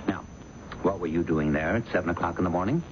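A man's voice: low voice, fast talker, natural voice. An actor playing a blunt, sceptical police inspector in a radio drama, terse and unruffled as he probes. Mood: probing. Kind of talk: old-time radio drama acting. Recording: dull, noisy old radio broadcast.

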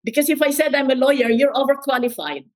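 A woman's voice: high-pitched, animated, quick pace, darkish tone. An older woman with a Filipino accent, telling her life story as an interview guest in an emphatic, repeating way. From Philippines. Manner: emphatic, repeats words, quotes others.

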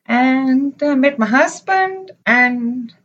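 A middle-aged woman from Zimbabwe, a podcast guest recalling her life abroad in an unhurried, meandering way with many pauses and fillers. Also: high voice, animated, fairly bright tone, muffled recording. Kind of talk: casual interview conversation.

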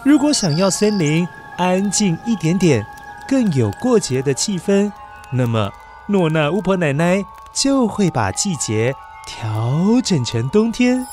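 A man narrating a children's story in a gentle, coaxing storyteller manner, addressing the young listener. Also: high-pitched, sing-song, measured pace. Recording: background music under narration.

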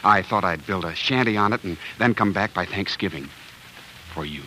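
An American actor playing an earnest, awkward young farmer in love, plain-spoken and halting, in a 1940s radio drama. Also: male voice, low voice, bright, forceful tone, low-quality recording. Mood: eager, tender. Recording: hissy old 1940s radio recording.

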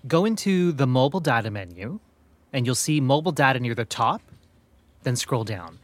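A courteous young man on a customer service call as a male actor plays him, in a naturalistic audio drama. His delivery is measured and considerate, with a mid-range voice. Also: mid-range voice, expressive, no echo, lightly acted. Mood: polite, businesslike. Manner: courteous, measured, hesitant when personal. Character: polite young male call-centre rep, aspiring drag queen.

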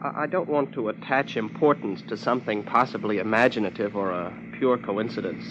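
A man's voice: on the low side, a little fast, highs cut off, close to an everyday voice. Man playing a hesitant, formal young rich heir. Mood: hesitant, careful. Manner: formal, hesitant, careful wording. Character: hesitant, well-mannered young millionaire heir, male. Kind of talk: 1950s radio drama acting. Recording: noisy old radio recording with hum.

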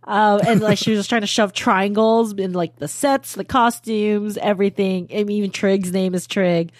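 A woman's voice: mid-range voice, steady tone, normal speed, dry recording, clean studio sound. Enthusiastic woman discussing a film. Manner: enthusiastic, laughs easily. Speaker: podcast co-host.

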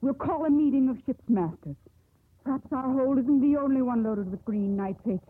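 An actress playing a proud, resolute young woman in 1950s radio drama, with a crisp, firm, formal delivery. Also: sits high, lively intonation, normal speed. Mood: defiant. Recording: muffled, tinny 1950s radio broadcast.

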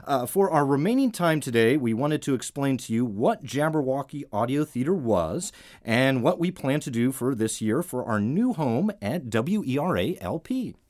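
Lively American man hosting, dry close sound. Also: medium pitch, moderate pace, hardly any pauses, dry recording. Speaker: artistic director of an audio theater, host. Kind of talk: conversational radio introduction.

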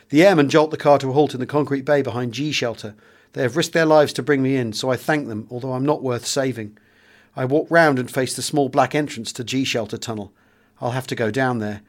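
A man with a British accent reading a first-person memoir aloud as a dramatic audiobook narrator. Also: medium pitch.

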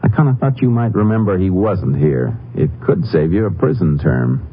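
A man playing a calm, persistent Texas Ranger in a 1950s radio drama, terse and polite as he questions methodically. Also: deep voice, normal speed, husky, full character voice. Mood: firm, official. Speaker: actor. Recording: muffled, noisy old radio recording.

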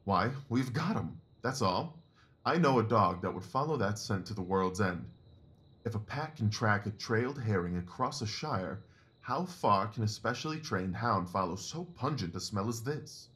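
A man's voice: lowish voice, lively intonation, normal speed, a bit bright. A man playing a confident, analytical Victorian detective in an audio drama, assured, precise and didactic in his talk. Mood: keen, instructive.

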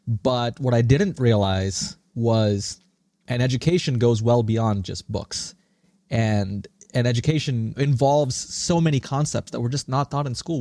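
A man's voice: mid-range voice, mellow, no echo, studio quality. A man in his thirties with an American accent, answering interview questions as a podcast guest in an earnest, emphatic, articulate way. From born in India, raised in Singapore. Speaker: podcast guest, startup CEO.

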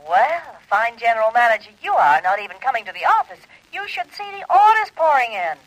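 A woman's voice: fairly high pitch, very animated, a little fast. An actress playing a teasing, flirtatious woman boss in a coy, playful 1950s radio drama style. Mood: brisk, teasing. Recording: noisy vintage radio recording.

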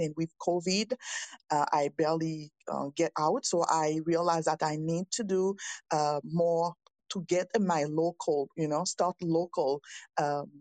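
A woman's voice: lowish voice, no echo. Hesitant woman. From Haiti. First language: French. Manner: hesitant, many fillers, warm thanks. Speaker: naturopath attending a session. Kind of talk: casual sharing in an online group. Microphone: online call.

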